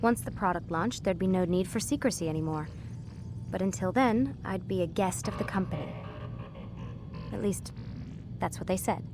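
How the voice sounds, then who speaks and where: An actress playing a young scientist in a video game, earnest, weary and guarded, speaking in a confiding, halting way. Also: female voice, lowish voice, over music, close to an everyday voice. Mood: troubled, confiding. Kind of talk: naturalistic game dialogue.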